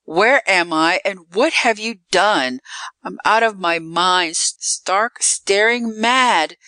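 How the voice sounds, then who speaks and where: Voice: mid-range voice, slow pace, sharp tone, clear, resonant tone, dry recording, pitched above the performer's own voice. A narrator voicing a horrified young American man turned vampire, crying out in desperate protest. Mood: horrified, frantic.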